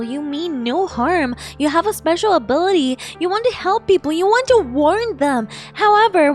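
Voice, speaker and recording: A woman game streamer giving live reaction commentary in a gushing, exclamatory, emotionally invested way. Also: sits high. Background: game music.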